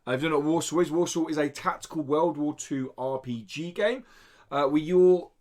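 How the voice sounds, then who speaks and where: A man's voice: a little high, brisk, darkish tone. Man hosting a casual podcast. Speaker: podcast host presenting gaming news.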